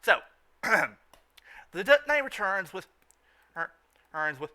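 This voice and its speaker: Male fan-show host: higher-pitched, sharp bright tone, stumbling repetitive delivery, informal recap style. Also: big pitch swings. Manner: halting, repeats and restarts phrases.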